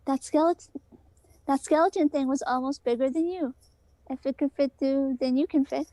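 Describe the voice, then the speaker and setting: A woman's voice: high-pitched, steady tone, normal speed, dark tone, no echo, natural voice, poor audio. A woman playing an insistent, pleading girlfriend in a story read aloud, urgent and coaxing. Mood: insistent, earnest.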